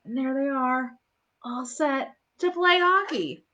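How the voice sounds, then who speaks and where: A woman reading a children's bedtime story aloud expressively, pausing to chat to her young listeners. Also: sits high, bright, forceful tone, dull audio.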